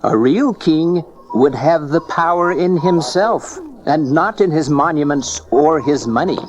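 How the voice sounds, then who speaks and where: Slow-spoken, wry old magus. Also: male voice, on the high side, expressive, music in the background. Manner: measured, ironic. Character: older wise magus, wry, philosophical, unimpressed by finery. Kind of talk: dramatized Bible audio acting. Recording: dull cassette tape audio drama.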